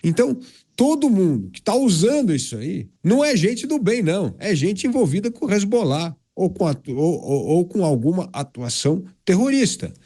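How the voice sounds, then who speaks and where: A Brazilian man delivers political commentary in a categorical, assertive manner. His voice is slightly high for a man and swings widely in pitch as he argues. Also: sing-song, normal speed, warm tone, breathy edge. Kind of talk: opinion commentary on a news debate show.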